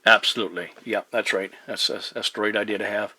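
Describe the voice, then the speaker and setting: A middle-aged man chatting as a radio operator, courteous and measured, with hesitant phrasing and operator jargon. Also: lowish voice, fairly even intonation, bright, forceful tone, breathy edge. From Newfoundland, Canada. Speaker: amateur CB radio operator hosting a video contact.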